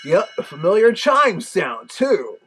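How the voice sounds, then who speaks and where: A man voicing a chatty, nostalgic big bad wolf with a gravelly, husky voice, giving a folksy casual review. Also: sits high, sing-song, a little slow, sharp tone, slight background noise, exaggerated voice. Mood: amused, nostalgic.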